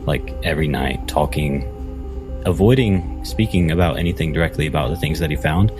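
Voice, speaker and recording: Male tabletop player describing his character's actions, extremely low voice, deliberate phrasing, music bed clearly underneath. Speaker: tabletop role-playing player. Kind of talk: narrating own character's actions in a tabletop game.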